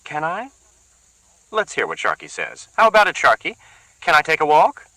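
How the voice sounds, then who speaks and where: A man voicing a tired, bitter woman who speaks slowly and distinctly. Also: a little high, huge pitch swings, no room sound, pitched above the performer's own voice, exaggerated voice, poor audio. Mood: weary bitter. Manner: slow, distinct, quietly cutting. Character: weary bitter woman, slow and deliberate.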